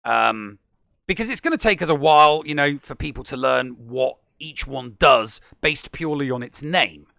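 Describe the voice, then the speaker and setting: A man co-hosting a gaming podcast, chatting casually and animatedly, often stumbling and restarting when excited. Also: on the high side, normal speed, vocal fry, no echo, studio quality. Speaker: podcast co-host.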